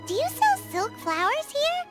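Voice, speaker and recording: A woman playing a tiny, childlike fairy companion in an anime game dub, squeaky, chirpy, naive and excitable. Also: a little slow, soft background music, exaggerated voice. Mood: puzzled. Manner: excitable, refers to self in third person.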